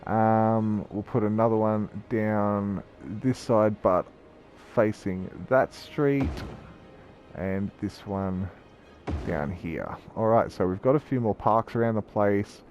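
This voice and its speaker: A young man, croaky from a cold, giving casual rambling commentary as he plays a city-building game. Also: fairly low pitch, slow, a little mellow, highs cut off, soft background music. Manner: chatty, rambling, self-correcting. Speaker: gaming YouTuber.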